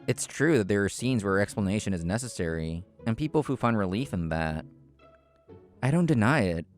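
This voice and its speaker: A man with a low voice narrates a scripted story. His delivery is measured and explanatory, told as the main character himself. Soft music plays underneath. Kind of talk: scripted first-person narration.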